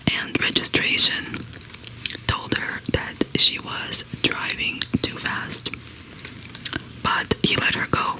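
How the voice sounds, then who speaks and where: A woman in her thirties tells a true crime story entirely in a soft, breathy whisper, unhurried and deliberate. She crochets as she talks, sitting close to a large studio microphone in a small room at home. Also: rough recording. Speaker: ASMR host. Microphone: large studio condenser mic close to her face.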